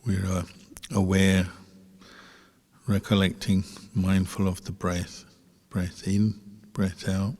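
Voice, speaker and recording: A man, a Buddhist monk, guiding a meditation calmly and without hurry, with a husky voice and long pauses between short phrases. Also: sits low. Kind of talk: guided meditation instruction. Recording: reverberant hall, long echo.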